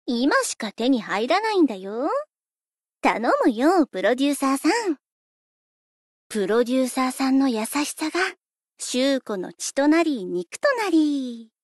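A woman's voice: high voice, very animated, a little slow, no room sound, clean studio sound, exaggerated voice. A woman playing a playful, laid-back young pop idol in scripted game lines, coaxing and lightly teasing in a breezy way.

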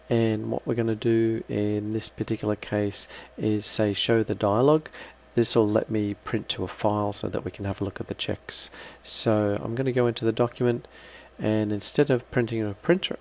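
A man giving an unscripted, halting step-by-step software walkthrough as a trainer. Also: lowish voice, creaky. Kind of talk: screen-recorded software walkthrough.

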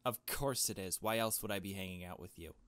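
Man as a bossy, haughty girl, lowish voice. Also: a bit bright, clear, resonant tone. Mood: condescending. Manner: brisk, condescending.

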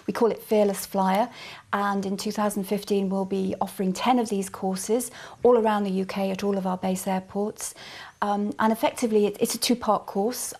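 A woman's voice: mid-range voice, darkish tone. Woman, middle-aged, business guest, corporate talk, many ums, studio lapel mic. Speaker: airline commercial manager, guest. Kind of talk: studio interview answers. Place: small TV studio set.

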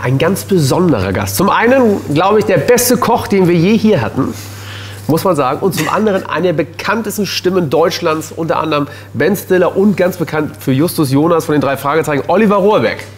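A man's voice: a little high, animated, breathy edge. A man hosting a cooking show in a studio kitchen, chatting in an upbeat, joking way on a lapel mic. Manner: upbeat, joking, quick to chime in. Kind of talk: casual chat while cooking.

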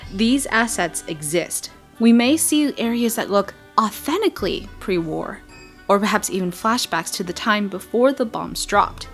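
A woman talks casually over faint music, like a game commentator breaking down footage. Her voice sits at an average female pitch, and her delivery is chatty and expressive, dotted with wry asides.

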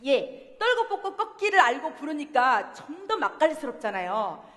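A woman's voice: sits high, very animated, normal speed, a bit bright. A woman in her thirties with a Jeolla accent, a pansori singer leading a playful lesson in a university hall, laughing often and coaching the audience. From Jeolla, Korea. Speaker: pansori singer teaching a class. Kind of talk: lively workshop lesson with sung demonstrations. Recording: long hall reverb from a concert hall.

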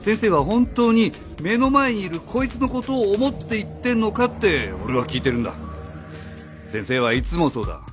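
A man playing a blunt, accusing outsider in a radio drama, confrontational and rough in his speech. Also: sits high, sing-song, normal speed. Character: blunt, accusing male outsider challenging authority. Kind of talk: Japanese radio drama acting. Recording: faint music behind the voice.

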